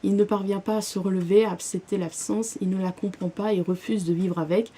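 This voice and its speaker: A woman's voice: medium pitch, steady tone, fairly quick, mellow, slightly rough. A young woman vlogging about her current reading in a casual, hesitant way, full of fillers and restarts. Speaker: book vlogger.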